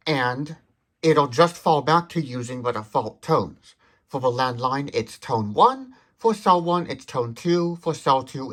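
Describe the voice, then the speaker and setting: A man's voice: mid-range voice, fairly bright tone. A man giving an explanatory product demonstration in a methodical, precise way, stressing key words. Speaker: tech demonstrator.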